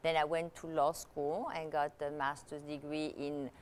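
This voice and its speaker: Low-voiced Italian woman, chatty guest. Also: flat delivery, moderate pace. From Italy. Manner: chatty, many "you know" fillers and restarts. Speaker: guest, luxury consultant and author. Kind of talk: conversational interview.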